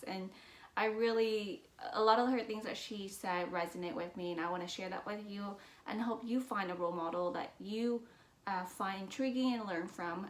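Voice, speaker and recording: A woman from Vietnam with as her talks earnestly and reflectively to her channel, with many fillers and restarts. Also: medium pitch. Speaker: business and mindset vlogger. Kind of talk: personal talk to camera. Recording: roomy echo.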